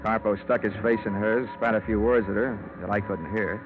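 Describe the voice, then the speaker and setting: An actor playing a wry, hard-boiled insurance investigator, deadpan and wisecracking, in an old-time radio detective drama. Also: male voice, on the low side, fairly quick, over music, lightly acted. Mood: wry, narrating. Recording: tinny vintage radio recording.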